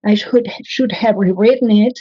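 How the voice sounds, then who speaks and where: A woman's voice: medium pitch, moderate pace, slightly rough, no echo. Earnest woman coach, slightly muffled. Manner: earnest, methodical explaining. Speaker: thesis writing coach. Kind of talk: interview conversation.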